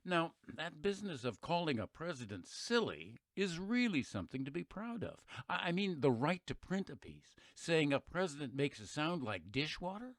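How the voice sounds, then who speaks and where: Actor as a lively, folksy radio narrator man. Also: a little high, normal speed, hardly any pauses, no echo. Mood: wry, earnest. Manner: conversational, wry, emphatic. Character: folksy, plainspoken patriotic male narrator addressing listeners. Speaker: narrator and host of an audio play. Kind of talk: scripted radio-play narration.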